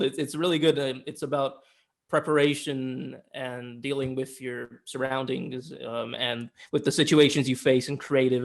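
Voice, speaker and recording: A young male podcast co-host chatting casually, hesitant and given to chuckling. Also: medium pitch. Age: young adult. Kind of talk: casual chat.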